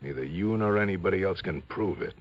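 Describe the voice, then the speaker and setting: An actor playing a shady, guarded, menacing smuggler in an old radio crime drama. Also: male voice, deep voice, animated, a little fast. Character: shady, menacing male smuggler posing as importer. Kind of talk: 1950s-60s radio crime drama acting. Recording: dull vintage radio recording.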